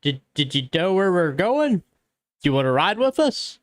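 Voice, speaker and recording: A man's voice: high-pitched, big pitch swings, normal speed, no room sound. Man roleplaying casually, very dry sound. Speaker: roleplaying game player. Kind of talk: casual third-person roleplay.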